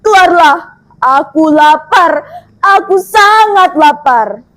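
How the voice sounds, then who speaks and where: A woman voicing a menacing old man-eating giantess in a folktale, growling and bellowing with drawn-out calls. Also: very high voice, animated, fairly bright tone, no echo, exaggerated voice. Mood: menacing, ravenous. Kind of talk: theatrical folktale storytelling.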